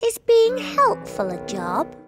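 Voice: very high-pitched, wildly swooping pitch, slow pace, over music, full character voice. An actor voicing a curious little cartoon princess, asking direct, childlike questions. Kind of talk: cartoon character asking short interview questions.

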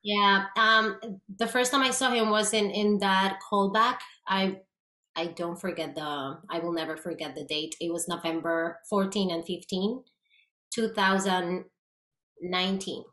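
A woman's voice: medium pitch, a little slow. A woman in her thirties with a Puerto Rican accent, a guest actress chatting animatedly over a video call. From Puerto Rico. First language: Spanish. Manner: animated, gestures a lot, restarts often. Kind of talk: casual interview chat. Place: small room with plain grey wall, indoors. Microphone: video call webcam. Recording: roomy echo.

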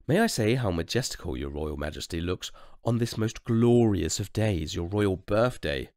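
A creepy, fawning court painter acted by a man from a storybook, pitched a little above the reader's talk. The delivery is oily and drawn out, bowing and scraping in every phrase. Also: expressive, moderate pace, darkish tone, no room sound, studio quality, natural voice. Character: creepy, fawning male royal portrait painter.

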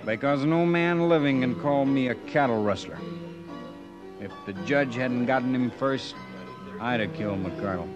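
An actor playing a proud, stubborn cowboy with a folksy delivery in an old radio western. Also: male voice, medium pitch, moderate pace, dull audio, music in the background, close to an everyday voice. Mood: easy, candid. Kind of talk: 1950s radio western acting. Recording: vintage radio drama recording.

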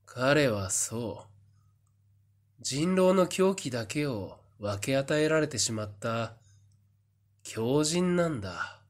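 A man playing a sly, smug fortune-teller in a voice drama, theatrical and condescending with a taunting calm. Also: mid-range voice, slow pace, pauses often. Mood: smugly calm. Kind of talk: Japanese voice drama acting.

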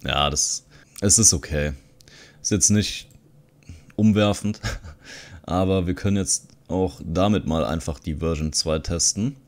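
A man's voice: deep voice, measured pace, long pauses. A man giving a casual, tutorial-style commentary as a video host. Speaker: video host, content creator.

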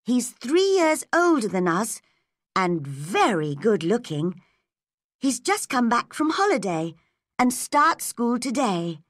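A woman's voice: on the high side, very animated, takes it slowly, no echo, clean studio sound, pitched above the performer's own voice, full character voice. A woman voicing an eager, chatty young schoolgirl, a loyal friend in a storybook, with a gossipy manner.

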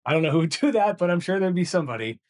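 A chatty man co-hosting a gym interview, talking quickly with loose, rambling phrasing. His voice sits a little high. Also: lively intonation. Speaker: co-host interviewer. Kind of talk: casual chat.

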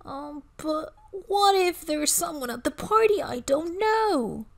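A woman's voice: high-pitched, expressive, slow pace, creaky, pitched above the performer's own voice, exaggerated voice. A woman voicing a reluctant, anxious little boy full of questions in a children's storybook. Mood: worried. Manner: worried, questioning. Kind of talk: children's storybook read-aloud.

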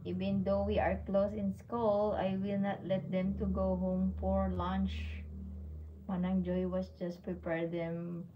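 Slow-paced Filipino woman chatting casually. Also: mid-range voice, flat delivery, lo-fi sound, background noise. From Philippines. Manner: mixes Tagalog words into English. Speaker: livestream host. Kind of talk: casual livestream chat, reading viewer comments. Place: room at home. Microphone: phone at arm's length.

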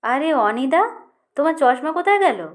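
A woman's voice: high-pitched, very animated, moderate pace, voice pushed up. A woman voicing a simple, familiar young shop helper in a colloquial, gawking manner for an audio story. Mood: surprised.

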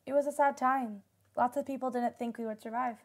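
A woman's voice: high-pitched, steady tone, brisk. Solemn young female disaster survivor played by a woman. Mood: somber, reflective. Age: young adult. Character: solemn nineteen-year-old female disaster survivor recalling childhood. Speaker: actor in a radio drama. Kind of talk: radio drama interview testimony.

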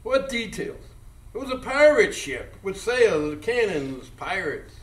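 An actor playing a drunken, brash American steamboat captain who rambles and mocks in a live radio drama. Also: male voice, sits high, very animated, takes it slowly, full character voice. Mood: rambling, exasperated. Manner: rambling, mocking, incredulous. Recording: echoey live stage, distant mic.

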